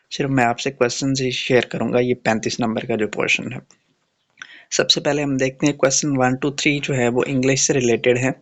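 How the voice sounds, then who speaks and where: A man's voice: medium pitch, fairly bright tone. A man with a Pakistani accent giving an informal, matter-of-fact exam-prep explainer, mixing in English phrases and reading questions aloud.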